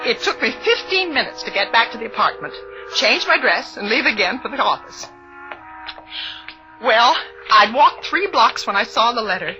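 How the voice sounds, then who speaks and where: High-pitched, fretful fussy spinster. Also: female voice, big pitch swings, normal speed. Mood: aggrieved. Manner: precise, indignant, fretful, breaking into cries. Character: fussy, anxious middle-aged spinster office worker, easily rattled. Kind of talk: 1940s radio suspense acting. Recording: muffled old radio broadcast with music.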